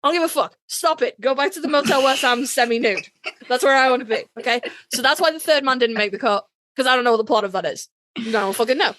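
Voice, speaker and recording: A woman co-hosting a podcast, chatting casually as a fan in an animated, emphatic, joking way with plenty of swearing. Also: high voice, fast talker, no echo. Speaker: podcast co-host. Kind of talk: casual fan chat about a TV series.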